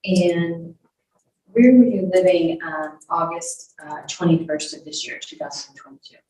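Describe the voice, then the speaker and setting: A woman's voice: lowish voice, unhurried, dark tone. A woman speaking as a prosecutor in a courtroom, questioning a witness in a formal, orderly, procedural way. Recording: echoey courtroom, distant mic, faint noise.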